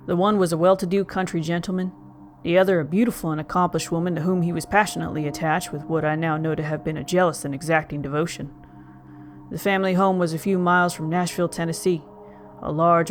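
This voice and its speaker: An actor playing a haunted young gentleman, narrating a gothic tale in formal, melancholy, literary speech. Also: medium pitch, slight background noise, lightly acted. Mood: mournful, measured. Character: young man Joel Hetman Jr, mournful, haunted, genteel narrator. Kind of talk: dramatic first-person gothic audio narration.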